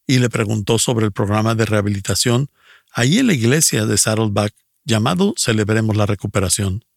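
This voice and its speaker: Middle-aged male, mid-range voice with some fry, earnest and measured, delivering a personal church testimony. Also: medium pitch, no echo, studio quality.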